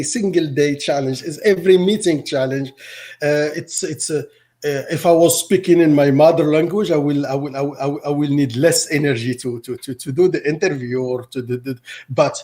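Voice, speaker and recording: A middle-aged man from Morocco whose first language is Arabic, answering interview questions in a halting way, often repeating words and restarting. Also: fairly high pitch, a little mellow. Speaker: teacher.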